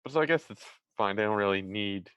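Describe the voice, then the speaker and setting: A person in casual group chat, relaxed and agreeable, thinking aloud with a few restarts. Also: male voice, fairly low pitch, quick pace, smooth, no room sound, muffled recording. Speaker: podcast host.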